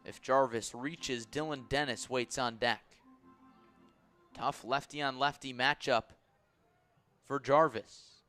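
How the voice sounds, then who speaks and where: A man works as a baseball announcer on live radio, calling the game pitch by pitch. His voice sits at a medium pitch with a bright, forceful edge. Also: steady tone, slow pace, pauses often, soft background music. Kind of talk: live radio sports commentary.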